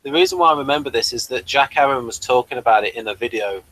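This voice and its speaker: Male review co-host, bright and crisp-edged, mid-range, informal and a bit rambling. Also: medium pitch, huge pitch swings, quick pace, rough recording. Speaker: co-host of a sci-fi TV episode review. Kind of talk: casual chat reviewing slides.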